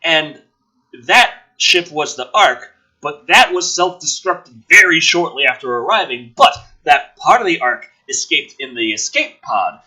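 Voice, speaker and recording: A man's voice: a little high, very animated, unhurried, sharp tone, husky, low-quality recording. A man reading a script dramatically and breaking off into sarcastic, exasperated, sweary commentary as the host.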